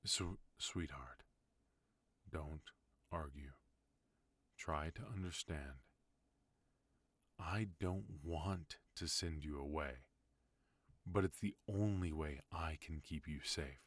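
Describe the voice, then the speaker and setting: Casting: a man as a stern but tender mafia boss husband, very deep voice, dry close mic. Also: slow pace, pauses often, no echo, full character voice. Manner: low, firm, soft-spoken pleading. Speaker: voice actor. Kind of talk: ASMR roleplay.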